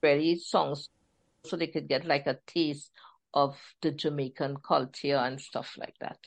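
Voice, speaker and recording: Woman author chatting in an interview, lively, acts out quotes, lowish voice. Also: measured pace, creaky. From Trinidad and Tobago. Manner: animated, laughing storyteller who acts out quotes. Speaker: author guest. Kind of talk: casual interview chat.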